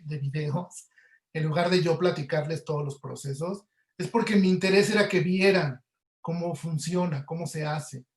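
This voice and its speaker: A man with a Mexican accent, giving conversational answers as a guest audio producer in an online webinar. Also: sits high. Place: indoor room, online webinar call. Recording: roomy, echoey sound.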